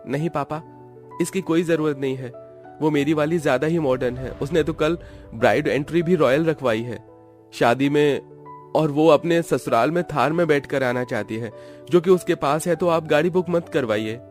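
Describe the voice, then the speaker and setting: A man voicing a mild, respectful young grown son in an animated family drama. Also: fairly high pitch, normal speed, soft background music, voice pushed up, close to an everyday voice. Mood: explaining.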